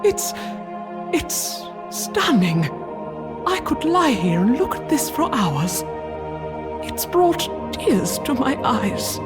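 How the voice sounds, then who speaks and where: Medieval queen, played by a woman, comic audio drama: gracious, bemused, slow, music clearly behind. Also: high-pitched, very animated, full character voice. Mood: moved, awed.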